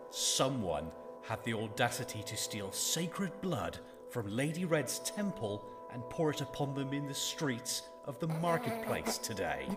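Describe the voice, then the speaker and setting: A man's voice: mid-range voice, very animated, a little slow, music in the background. A man playing a stern, middle-aged royal father in a fantasy audio drama, pompous, scolding and contemptuous. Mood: cold restraint.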